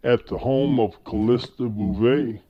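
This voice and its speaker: A man's voice: mid-range voice, measured pace, slight background noise. A man reading scripted scene-setting narration for an audio drama. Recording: dull lo-fi recording.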